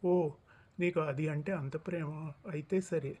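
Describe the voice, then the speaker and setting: Man acting imperious high-voiced king. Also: steady tone, a little slow, darkish tone, husky. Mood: stern, threatening. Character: commanding king, anxious father, quick to threaten. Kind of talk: acted cartoon story dialogue.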